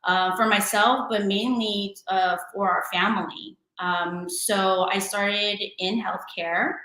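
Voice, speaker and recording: A woman's voice: mid-range voice, fairly even intonation, takes it slowly, bright, forceful tone, roomy sound, rough recording. A woman, a guest chatting casually in an interview with many fillers and restarts. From San Francisco Bay Area, California. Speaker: guest entrepreneur and DJ.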